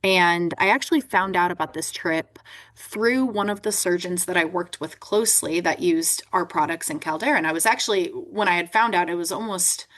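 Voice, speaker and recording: A woman's voice: fairly low pitch, normal speed, a bit bright. A woman in her twenties, a podcast guest telling her story in a chatty way, often adding "right?". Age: 20s. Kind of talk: interview conversation.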